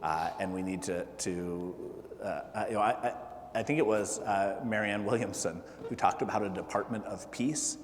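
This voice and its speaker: A man with a fairly low voice talks to a gathered group, stopping often with fillers and restarts. His words ring in an echoey hall with a long tail of reverb. A faint hiss of noise sits under the recording. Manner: halting, many fillers and restarts. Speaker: political candidate. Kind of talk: town hall talk.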